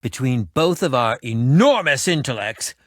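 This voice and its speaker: A man's voice: medium pitch, lively intonation, measured pace, dry recording, clean studio sound, natural voice. An actor playing an eccentric, self-important man who fancies himself a ninja in a video game, speaking in a pompous, dramatic, wordy manner. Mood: smug, boastful. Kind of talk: animated video game voice acting.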